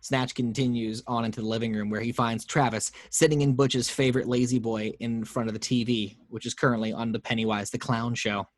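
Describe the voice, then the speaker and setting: A man's voice: mid-range voice, level tone, a little fast, few pauses, no echo. Man reading screenplay action lines.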